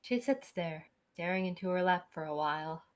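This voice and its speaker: A woman's voice: fairly low pitch, moderate pace. A woman host reading visual novel narration aloud.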